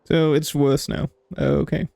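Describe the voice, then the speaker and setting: Low-voiced woman streaming a game. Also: flat delivery, measured pace, gravelly, warm tone, no echo. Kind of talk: casual let's-play commentary.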